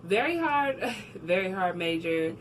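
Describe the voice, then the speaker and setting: A young woman from New Jersey, a guest in a casual interview, telling her story with animated quoting and frequent laughter. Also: on the low side, measured pace. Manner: animated storytelling, laughs often, acts out quotes. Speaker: guest, record label marketing admin. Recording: distant mic with background noise.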